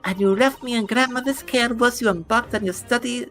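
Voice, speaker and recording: A woman's voice: fairly high pitch, brisk, a bit bright, faint music behind, lightly acted. A woman voicing an indignant young assistant. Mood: accusing. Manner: indignant, accusing. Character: prim young Japanese woman, a detective's assistant. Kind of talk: fan dub of a game.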